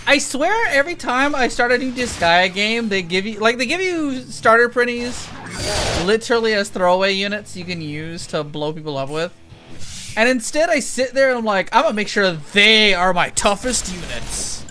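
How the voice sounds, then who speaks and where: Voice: mid-range voice, lively intonation, normal speed, sharp tone. A young adult streamer giving enthusiastic, rambling, casually profane commentary while playing a video game. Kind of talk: casual gameplay commentary on a livestream. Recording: game music behind the voice. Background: video game music.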